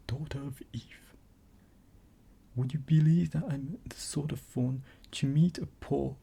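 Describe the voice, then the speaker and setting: A woman voicing a gentle male faun who sobs and whispers in guilt. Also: very deep voice, gravelly, husky, heavily acted. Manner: sobbing, whispery delivery.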